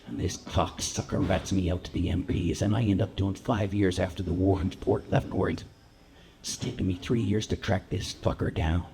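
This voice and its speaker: A man playing a menacing war-veteran biker gangster in a stage monologue, speaking quietly and deliberately with coarse, threatening words. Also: deep voice, fairly even intonation, creaky, voice pushed down. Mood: quiet menace. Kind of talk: dramatic monologue in a live play reading.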